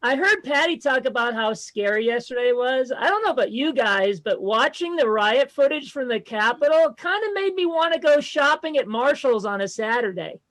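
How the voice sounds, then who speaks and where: A comedian in his fifties with an unusually high voice for a man, telling self-deprecating jokes in a deadpan way over a video call. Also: moderate pace, few pauses, low-quality recording. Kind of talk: stand-up comedy set.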